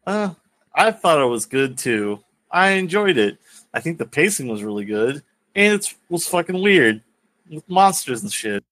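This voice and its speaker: A man's voice: a little high, big pitch swings, a little slow, fairly bright tone. A man co-hosting a casual podcast, speaking in a blunt, matter-of-fact way. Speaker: podcast co-host. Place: recorded remotely over a voice chat app.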